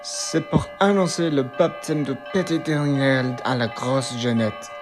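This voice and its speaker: A man's voice: mid-range voice, unhurried, over music, close to an everyday voice. A man playing a matter-of-fact innkeeper who relays messages in a radio play.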